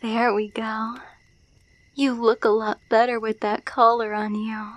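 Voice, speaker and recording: A woman's voice: a little high, little pitch movement, unhurried, dry recording, lightly acted. A woman playing a possessive alpha werewolf in an ASMR roleplay, taunting and condescending as she coos pet names. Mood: possessive, smug. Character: dominant, possessive female alpha werewolf, teasing and menacing.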